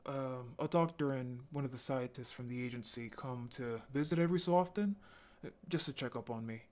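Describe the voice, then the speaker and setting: A man playing a lonely, apologetic young male zombie in an audio roleplay, speaking in a halting, intimate way. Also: medium pitch, a little mellow, close to an everyday voice. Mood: worried, earnest. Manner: halting, stammering, sighing.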